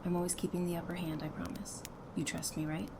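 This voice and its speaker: An affectionate, self-assured young wife played by a woman in an audio drama, speaking casually and playfully. Her voice sits a little low, and the recording carries clear noise. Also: flat delivery, fast, a little mellow. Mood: confident, reassuring.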